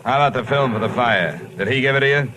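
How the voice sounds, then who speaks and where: A male actor as a tough radio detective who investigates insurance cases. His medium-pitched delivery is clipped and wry, quick with a wisecrack. The vintage recording has plain noise behind it. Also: lightly acted. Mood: hard, impatient. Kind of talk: 1950s radio detective acting and narration. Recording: noisy old-time radio recording.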